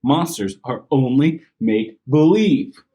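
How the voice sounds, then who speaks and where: A man's voice: mid-range voice, animated, takes it slowly, warm tone. A man voicing a human mother in a storybook read-aloud, sing-song and mildly scolding. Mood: amused. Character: patient but dismissive human mother.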